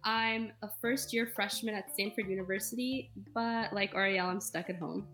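A nineteen-year-old woman from Egypt speaks as a podcast co-host in a casual, animated way. Her voice is at an ordinary pitch for a woman, and she often slips "like" and "you know" into her talk. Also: moderate pace, soft background music. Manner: animated, laughs between phrases.

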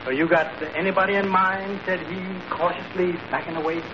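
Man playing wry tavern waiter sidekick, high male voice, dry deflating delivery, old radio comedy, heavy noise. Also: steady tone, brisk, lightly acted. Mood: droll, wary. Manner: dry, deflating one-liners. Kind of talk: 1940s radio comedy acting. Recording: noisy, muffled old radio recording.

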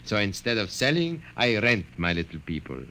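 An actor playing a grandiose, secretive doll maker in an old radio drama, speaking theatrically. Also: male voice, fairly low pitch, sing-song, moderate pace, bright, forceful tone, full character voice. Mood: boastful. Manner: theatrical, boastful, foreign-sounding. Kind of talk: 1950s radio drama acting.

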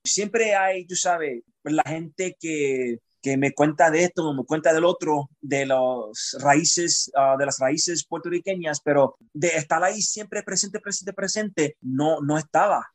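A man's voice: on the high side, takes it slowly, few pauses. A man from Chicago, a poet guest in an interview, speaking reflectively and searching for his words. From Chicago, United States. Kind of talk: conversational interview.

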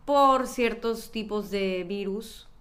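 A woman with a Mexican accent talks casually on a podcast as a doctor. Her pitch is average and she explains things at a very slow pace. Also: a bit bright.

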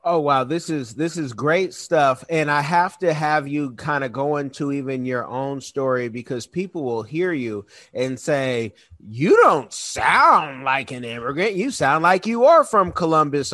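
A man's voice: on the high side, a bit bright, no echo. Guy hosting an interview show, upbeat and chatty, dry close mic. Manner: enthusiastic, conversational. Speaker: host, interviewer. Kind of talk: interview conversation.

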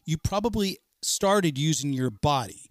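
A man's voice: on the high side, animated, measured pace, no room sound, studio quality. A middle-aged man hosting a casual interview, enthusiastic and prone to stumbling restarts. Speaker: podcast host.

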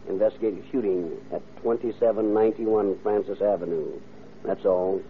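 A man acts as a curt police dispatcher on 1930s radio. He is low-voiced, clipped and official. The sound is tinny and thin, with slight noise. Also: steady tone, moderate pace, close to an everyday voice.

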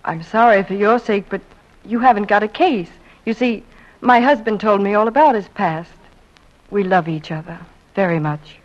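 A woman acting a young small-town wife with an American accent in a vintage drama. She is composed, proud and plainspoken, at a middling pitch. The audio is a little dull. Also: moderate pace, close to an everyday voice. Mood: firm, loyal. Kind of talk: old radio drama acting.

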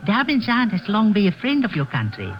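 High-pitched, anxious bazaar informant. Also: male voice, wildly swooping pitch, a little fast. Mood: guarded, earnest. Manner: formal, deferential, foreign phrasing. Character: anxious Middle Eastern bazaar merchant, secretive informant. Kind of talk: old-time radio drama acting. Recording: vintage radio broadcast, background noise.

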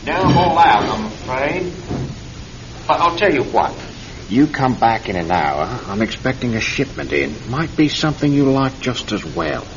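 A man's voice: mid-range voice, very animated, moderate pace. An actor playing a genial, sly tobacconist who coaxes his customer in an old-time radio drama. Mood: genial, obliging. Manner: ingratiating, coaxing. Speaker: shopkeeper. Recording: dull, noisy vintage radio recording.

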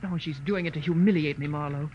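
A woman's voice: deep voice, quick pace, highs cut off, slight background noise, natural voice. Woman acting an imperious society lady, old radio recording. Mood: indignant, bitter. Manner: crisp, commanding, disdainful.

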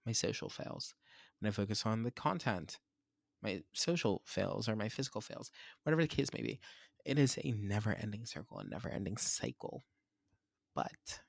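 A man's voice: mid-range voice, vocal fry, no room sound, studio quality. A man, hosting a solo podcast and reflecting in a candid, rambling, self-searching way. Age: 20s. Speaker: podcast host.

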